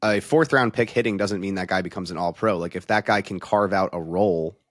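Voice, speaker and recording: Low-voiced man talking football. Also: a little fast, no room sound, clean studio sound. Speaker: football analyst. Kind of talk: casual sports panel talk.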